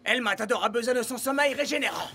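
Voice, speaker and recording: A man's voice: very high voice, fast, creaky, pushed and bright, a little hiss or hum, exaggerated voice. A man voicing a vain, dismissive male star footballer in a cartoon dub. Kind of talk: animated cartoon dub acting.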